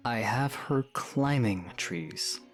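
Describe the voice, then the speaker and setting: An actor playing a calm, protective young hero in an audio drama, terse and matter-of-fact. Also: male voice, medium pitch, slow pace, faint music behind. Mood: protective, earnest. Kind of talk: fan audio drama acting.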